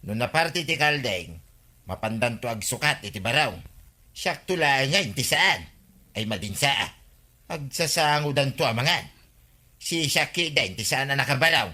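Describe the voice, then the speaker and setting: A man's voice: mid-range voice, lively intonation, normal speed, pushed and bright. A man with an Ilocano accent playing a grumbling, complaining, henpecked village husband in a radio comedy drama.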